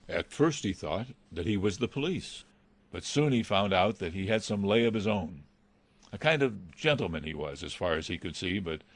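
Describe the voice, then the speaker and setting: Worried manservant, low-pitched, earnest, deferential, hesitant, radio mystery, close dry sound. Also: male voice, no echo. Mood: earnest, explaining. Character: anxious male butler, deferential, dreading villainy. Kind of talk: radio mystery drama acting.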